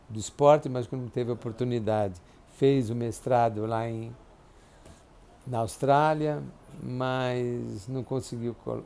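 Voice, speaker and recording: Gravelly older man recalling family. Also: medium pitch, mellow, slight background noise. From Brazil. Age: 70s. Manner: halting, reflective, many restarts and tag questions. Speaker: interviewee. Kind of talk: oral history interview. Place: clinic office.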